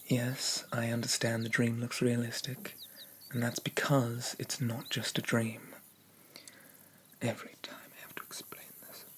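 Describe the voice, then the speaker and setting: A man playing a haughty, possessive demon prince of dreams in an ASMR roleplay, speaking formally and pompously in a soft, often whispered voice. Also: medium pitch, completely flat delivery, measured pace, lightly acted. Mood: regal, condescending. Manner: pompous, formal, archaic diction. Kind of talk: ASMR roleplay, addressing the listener.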